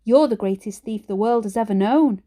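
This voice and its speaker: A woman's voice: on the high side, normal speed, mellow, close to an everyday voice. Lady doing a keen little boy in a kids story, pleading and eager for adventure. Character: eager, adventurous young schoolboy grandson.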